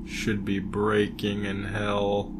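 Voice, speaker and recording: A man voicing a laid-back, deadpan skeleton monster with a gravelly voice in a cartoon comic dub. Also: on the low side, takes it slowly, noisy background. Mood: menacing. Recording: music under the voice.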